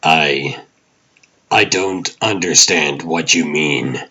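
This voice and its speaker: A man's voice: a bass voice, slow, sharp tone, slightly rough, exaggerated voice. A man playing a driven, serious vigilante hero in a comic dub, speaking earnestly. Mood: confused, subdued.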